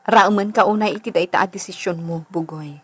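A woman's voice: lowish voice, a little fast, slightly rough. An actress playing a concerned wife and mother in a radio drama.

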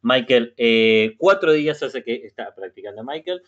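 A man from Uruguay with a Uruguayan Spanish accent, leading an online Spanish conversation class, speaking slowly and patiently and repeating words for learners. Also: medium pitch, animated. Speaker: language class facilitator. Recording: muffled web meeting audio.

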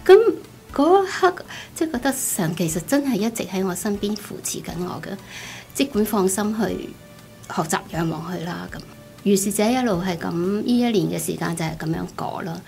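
A middle-aged woman with a Hong Kong Cantonese accent, giving a personal testimony in an expressive, conversational storytelling way. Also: mid-range voice, moderate pace, gravelly. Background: background music.

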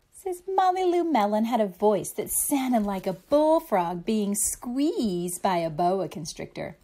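A woman's voice: mid-range voice, warm tone. A woman reading a picture book aloud expressively for young children, explaining things simply like a teacher.

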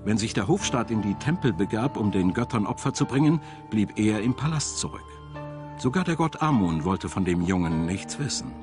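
A man reading scripted documentary narration in a measured storytelling manner. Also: lowish voice, animated. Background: background music.

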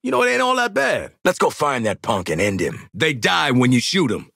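A male actor playing a sardonic, confident mercenary marksman in a video game, with an American accent, husky voice and blunt, commanding manner. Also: mid-range voice, sing-song, moderate pace, bright, forceful tone, dry recording, studio quality, full character voice. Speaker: squad fighter. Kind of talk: video game combat voice lines.

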